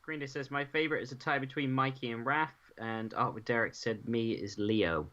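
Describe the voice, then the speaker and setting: A man's voice: mid-range voice, normal speed, a bit bright. Teasing man, dull lo-fi sound. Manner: teasing, playful, breaks into bits of song. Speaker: co-host and brother. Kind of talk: casual chat on a live stream, reading chat comments.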